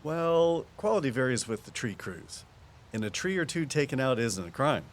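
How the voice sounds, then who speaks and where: A man's voice: mid-range voice, big pitch swings, moderate pace, lightly acted. A man playing a patient, nature-loving conservation officer who explains things reflectively in audio drama dialogue. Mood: measured, practical.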